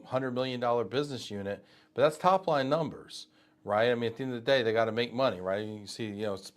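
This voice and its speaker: A man from Texas hosting a live interview, talking in quick conversational bursts with many asides and fillers. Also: mid-range voice. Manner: talks fast with many asides and fillers, speaks to viewers directly. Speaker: host of a live show.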